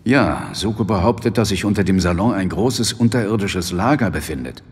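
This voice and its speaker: Sober police inspector, low husky voice, skeptical, natural radio-play delivery, reverberant. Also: male voice, expressive, normal speed. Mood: sober, determined. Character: sober, wary male British police inspector. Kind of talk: naturalistic audio drama acting. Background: music.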